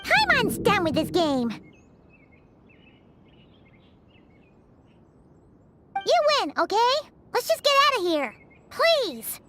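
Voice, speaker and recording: A woman's voice: extremely high pitch, wildly swooping pitch, measured pace, a bit bright, soft background music, full character voice. A woman voicing a tiny, bossy and dramatic fairy girl companion who talks about herself in the third person in a game cutscene. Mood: exasperated.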